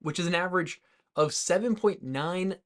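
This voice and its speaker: A young man with a slightly high voice co-hosts a casual movie podcast while drinking. He speaks informally and tentatively, as if thinking out his opinions while he goes. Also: normal speed, fairly bright tone. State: drinking alcohol. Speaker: podcast co-host.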